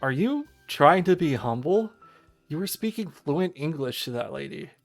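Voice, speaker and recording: A man voicing an excitable, friendly young woman in a visual novel, with an eager, questioning manner. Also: fairly high pitch, moderate pace, creaky, soft background music. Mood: surprised, questioning.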